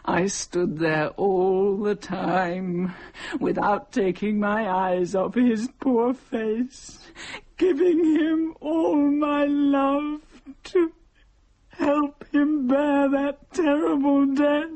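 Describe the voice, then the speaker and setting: An actress playing a starving, embittered village widow and mother near death in an audio drama, speaking mournfully and solemnly with a husky voice. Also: high voice, steady tone, extremely slow, a little mellow, full character voice. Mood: numb grief.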